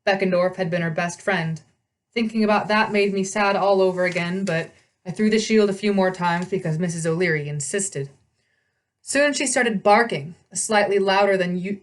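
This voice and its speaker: A woman narrating a storybook aloud in the first person, expressively, as a storyteller. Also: medium pitch.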